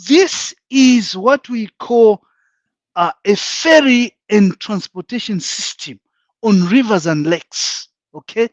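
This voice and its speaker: A man's voice: high-pitched, expressive, takes it slowly, no room sound. A man with a Zambian accent, a panel guest speaking frankly and emphatically in a live online discussion, laying out his points one by one.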